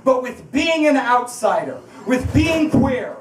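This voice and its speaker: A woman's voice: sits high, big pitch swings, takes it slowly. A woman performing an impassioned spoken-word piece to a live audience in a bar, emphatic and confrontational, with rhetorical repetition. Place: indoors at a bar, live event. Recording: echoey bar room, distant microphone.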